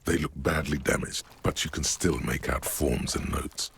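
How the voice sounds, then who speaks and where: An actor narrating a detective game in a brooding, deliberate, literary manner with a very deep voice. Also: male voice, fairly even intonation, a bit bright, a little hiss or hum. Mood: brooding, measured. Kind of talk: scripted second-person narration.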